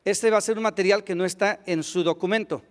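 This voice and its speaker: Man, high voice, quick hesitant interpreting, echoing hall. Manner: quick, with hesitant fillers and restarts. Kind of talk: consecutive interpretation of a lecture. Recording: long hall reverb.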